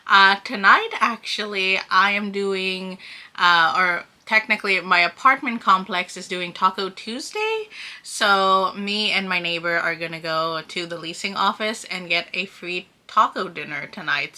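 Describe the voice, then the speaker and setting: A woman's voice: mid-range voice, bright, forceful tone. A woman in her early thirties chatting casually in a sit-down vlog, rambling and laughing often. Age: 30s. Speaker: vlogger.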